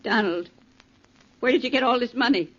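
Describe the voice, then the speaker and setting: A woman's voice: on the high side, moderate pace, natural voice. An actress playing a long-suffering, nagging middle-aged mother in a 1940s radio drama. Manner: nagging, exasperated. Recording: muffled, noisy 1940s radio recording.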